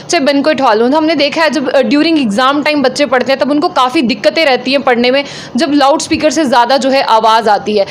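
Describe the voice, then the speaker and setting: A woman's voice: high-pitched, slight background noise. A woman working as a news reporter, putting wordy interview questions that recap before they ask.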